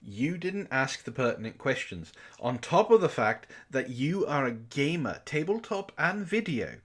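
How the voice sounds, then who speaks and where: A man's voice: medium pitch, sing-song, measured pace, breathy edge. A man bantering in a comedic book club chat, theatrical and mock-pompous, easily worked up. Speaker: book club co-host and reader.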